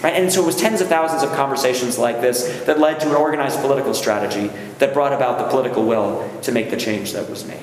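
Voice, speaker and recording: Rabbi addressing a gathering, conversational, keeps asking "right?", booming reverb from a big hall. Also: male voice, fast talker, hardly any pauses. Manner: conversational, checks in with the audience. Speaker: rabbi leading a workshop. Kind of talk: speech to a gathering. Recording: echoey hall, faint room noise.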